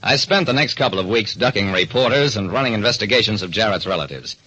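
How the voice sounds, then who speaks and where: A man's voice: fairly low pitch, expressive, quick pace, noisy background. A man with an American accent giving dramatic, hard-boiled narration as a detective in old-time radio style. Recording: dull, band-limited recording.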